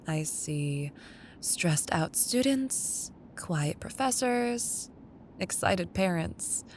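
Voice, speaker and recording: A woman playing a caring, wistful young childhood best friend in an intimate, gently teasing ASMR roleplay. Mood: fond teasing. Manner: tender, unhurried, teasing. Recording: audible low rumbling background noise.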